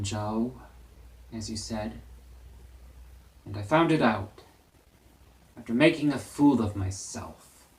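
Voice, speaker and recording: A man acting an earnest, lovelorn young married man in a dramatic monologue from a classic novel, deliberate and emphatic. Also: mid-range voice, lightly acted. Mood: rueful, impassioned. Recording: voice distant from the microphone.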